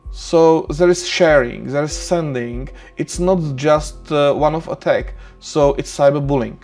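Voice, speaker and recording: A middle-aged Czech-accented man gives a recorded lecture from his desk, his voice sitting a little high. He speaks haltingly, filling gaps with "uh" and restarting phrases. Faint music plays quietly underneath. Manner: hesitant, many "uh" fillers and restarts, non-native phrasing. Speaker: university lecturer. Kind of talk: recorded online lecture over slides. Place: small room indoors.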